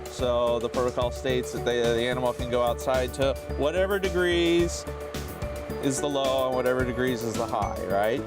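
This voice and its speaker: A man's voice: mid-range voice, normal speed, music in the background. Man explaining zoo winter care. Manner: explanatory, conversational. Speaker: zoo staff member. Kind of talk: interview answers.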